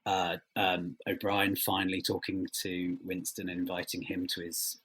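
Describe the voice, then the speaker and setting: A man's voice: low-pitched, completely flat delivery, a bit bright, husky, rough recording. A man answering interview questions as a writer, thoughtful and hesitant, often rephrasing as he goes. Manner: hesitant, halting phrasing with many trailing rephrasings.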